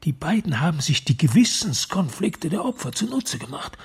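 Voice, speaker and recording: An actor playing a skeptical, authoritative police chief in a German crime radio drama, terse, with a husky and gravelly voice. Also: male voice, a little high, sing-song, moderate pace, heavily acted. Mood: disturbed, probing.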